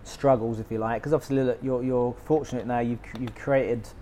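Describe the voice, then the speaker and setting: A man's voice: mid-range voice, steady tone, a little fast, mellow, a little hiss or hum. A man hosting a podcast, asking encouraging, conversational questions. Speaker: podcast host. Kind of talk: podcast interview.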